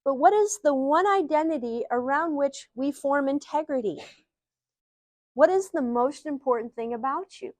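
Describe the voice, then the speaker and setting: A woman's voice: sits high, dry recording. A middle-aged woman from Ohio with a Midwestern accent, teaching an audience earnestly and often posing rhetorical questions. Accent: Ohio Midwestern American. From Ohio, USA. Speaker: speaker, psychologist. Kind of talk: live teaching talk to an audience.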